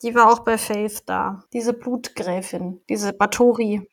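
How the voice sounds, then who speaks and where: A woman's voice: mid-range voice, steady tone, a little slow. A woman co-hosting a podcast, chatting casually as she reviews an audio drama and thinks aloud. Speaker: podcast co-host.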